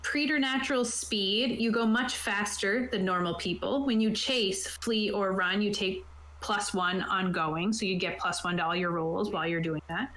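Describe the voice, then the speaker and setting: A woman's voice: medium pitch, normal speed, a bit bright, roomy sound, low-quality recording. A woman prepping a tabletop game online, reading rules aloud and explaining them in a chatty, casual way. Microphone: own microphone on an online voice call.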